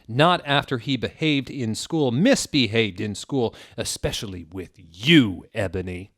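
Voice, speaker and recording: A man's voice: medium pitch, lively intonation, measured pace, no echo, clean studio sound. A man voicing a stern, spiteful old wizard headmaster in a comic story reading, scornful and emphatic.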